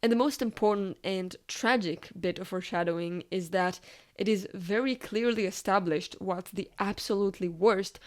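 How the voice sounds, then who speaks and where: Clear-voiced woman narrating a video essay. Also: medium pitch, darkish tone. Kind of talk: scripted analytical narration.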